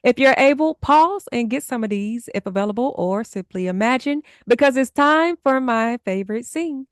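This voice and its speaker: Higher-voiced woman hosting a book podcast. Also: lively intonation, moderate pace, dry recording, clean studio sound. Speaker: podcast host.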